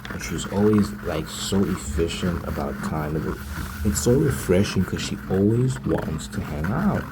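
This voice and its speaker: A man's voice: lively intonation, measured pace. A man doing a casual ASMR roleplay monologue, telling his story in a hesitant, repetitive, slangy way as a smitten, naive boyfriend. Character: smitten, naive young man gushing about his new girlfriend. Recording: lo-fi ASMR with loud rumbling noise.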